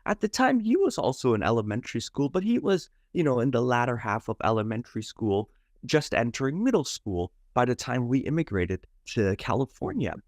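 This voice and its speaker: A man's voice: medium pitch, big pitch swings, brisk, no room sound, clean studio sound. A man from Canada, born in India, speaking as an interview guest in a polite, earnest, wordy way with hesitant repeats. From Toronto, Canada, born in Mumbai, India. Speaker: interview guest, environmental scientist. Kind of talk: conversational personal storytelling.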